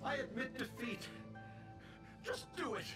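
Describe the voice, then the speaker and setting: A man's voice: very high voice, lively intonation, measured pace, bright, forceful tone, faint music behind, exaggerated voice. A man voicing a defeated warrior spirit in an anime game, begging to be exorcised. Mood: resigned. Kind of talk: anime game character voice acting.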